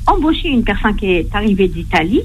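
A woman's voice: medium pitch, very animated, a little fast. A woman calling in to a radio show. Her voice comes through a muffled phone line that sounds like a cave. She talks in an earnest, apologetic way and hesitates often. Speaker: caller, home care agency manager.

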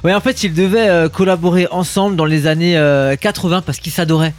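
A man's voice: on the high side, steady tone, fairly quick, faint music behind. A man co-hosting a morning radio show, playful and laughing a lot as he reads out facts. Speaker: radio co-host. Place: radio studio.

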